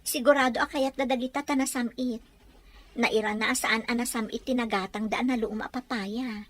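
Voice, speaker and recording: A young woman with an Ilocano accent playing a gentle, long-suffering wife in a radio drama. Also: on the high side, fairly even intonation, normal speed, lightly acted. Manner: gentle, pleading.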